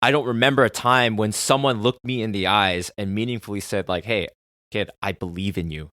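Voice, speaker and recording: A man's voice: fairly low pitch, brisk, dry recording, clean studio sound. Earnest man hosting a solo podcast. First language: Korean. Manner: earnest, excitable, swings from soft musing to loud swearing. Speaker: podcast host.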